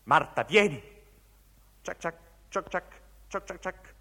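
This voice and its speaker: Man declaiming dramatically in deep reverb. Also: lively intonation, slow pace, bright, forceful tone. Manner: declamatory, stretching from murmurs to cries. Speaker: reader of literary text. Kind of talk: dramatic literary recitation. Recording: long reverberant hall sound.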